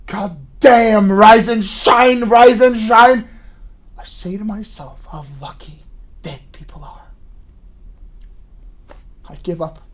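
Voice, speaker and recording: A man acting a trapped, bitter son in a theatrical monologue, swinging between hushed and shouted delivery. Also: high voice. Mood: bitter despair. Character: trapped, bitter young son arguing with his mother.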